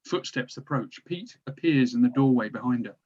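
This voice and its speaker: A man's voice: mid-range voice, lively intonation, moderate pace, a little mellow, slightly rough. A man narrating stage directions from a drama script, recorded on dull, lo-fi audio.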